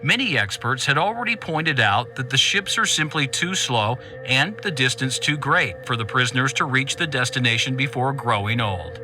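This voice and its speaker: A news journalist reports with polish and urgency in a science fiction radio play. His voice is extremely bright and forceful, at an average male pitch. He stays serious and brisk. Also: animated, faint music behind, poor audio. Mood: serious, urgent. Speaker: voice actor in an audio drama. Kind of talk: broadcast news report in an audio drama.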